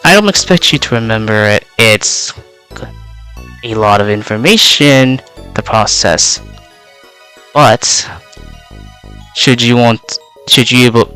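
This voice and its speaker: A young man whose first language is Vietnamese introduces himself as a VTuber in a loose, rambling way, leaning on filler words as he goes. His voice sits at a middle pitch and comes through smooth and resonant, though it sounds slightly worn out. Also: expressive, a little slow, pauses often, sharp tone, soft background music. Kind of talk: casual in-character self-introduction.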